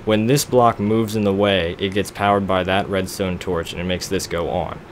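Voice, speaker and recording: Young man, deep voice, rambling self-deprecating gameplay commentary, noisy home mic. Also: steady tone, normal speed. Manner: rambling, self-deprecating, talks to himself while building. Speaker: let's play gamer.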